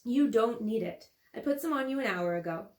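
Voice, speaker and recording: A woman voicing a patient, practical mother in a storybook reading, speaking in a gentle, matter-of-fact way. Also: fairly high pitch, animated, brisk, echoey room, natural voice. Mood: patient, dry.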